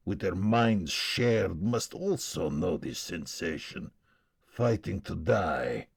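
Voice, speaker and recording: A man playing a battle-weary warrior who is endlessly reborn, solemn, brooding and husky, in a game lore reading. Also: on the low side, full character voice. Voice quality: gravelly, husky.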